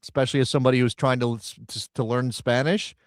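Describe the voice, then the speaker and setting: A man co-hosting a casual soccer talk show, emphatic and animated, often repeating words as he builds a point. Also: mid-range voice, fast, dry recording, studio quality. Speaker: co-host and radio broadcaster.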